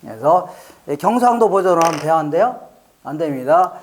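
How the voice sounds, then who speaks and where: A man's voice: a little high, expressive, brisk, slight background noise. A man from the Chungcheong region teaching an exam prep lecture, playful and jokey, quizzing listeners with rhetorical questions and answering them himself. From Chungcheong region, Korea. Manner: playful, jokey, drills mnemonics by repetition.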